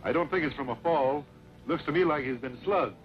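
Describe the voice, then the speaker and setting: An actor playing a blunt, protective rancher in an old western radio drama, talking quickly. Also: male voice, a little high, faint music behind, lightly acted. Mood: concerned. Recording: dull old radio recording.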